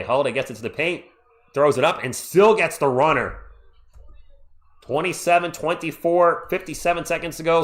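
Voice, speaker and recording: A man hosting a live stream, calling a basketball game play-by-play as an open fan of one team and thanking viewers in the chat. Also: mid-range voice. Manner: excitable and partisan, reads viewer comments. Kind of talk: fan play-by-play commentary with chat shout-outs.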